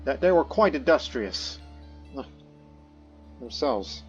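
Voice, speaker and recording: A man at a middle pitch gives loose, rambling commentary on a strategy game, full of fillers and restarts. The recording is slightly dull, with soft game music underneath. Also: sing-song, slow. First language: not Croatian; studied Croatian as a second language. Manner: rambling, many fillers and restarts, speaks in character as his ruler. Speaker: let's play host. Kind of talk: casual gameplay commentary. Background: faint game music.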